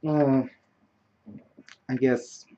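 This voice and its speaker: A man's voice: medium pitch, flat delivery, drawn-out words, mellow, dull audio. A man hosting a makeup tutorial, chatting casually in a wandering, self-deprecating way. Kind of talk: casual rambling tutorial chat.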